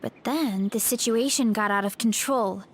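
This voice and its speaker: A bright-spirited young adventurer voiced by a woman, pitched high, speaking in video game dialogue. The voice is keen and youthful, with faint noise in the recording. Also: normal speed, natural voice. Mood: curious.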